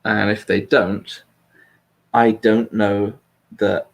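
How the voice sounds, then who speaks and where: A man's voice: lowish voice, flat delivery, very slow, creaky. A British man hosting a casual political chat, speaking bluntly with swearing and a self-deprecating streak. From Britain. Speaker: host.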